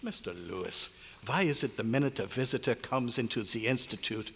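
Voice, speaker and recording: A man's voice: mid-range voice, lively intonation, brisk, creaky, fairly bright tone, breathy edge, heavily acted. A man playing an irritable, pompous German scientist in an old-time radio drama, declaiming with exasperation and a German accent. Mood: exasperated. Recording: echoey hotel hall live performance.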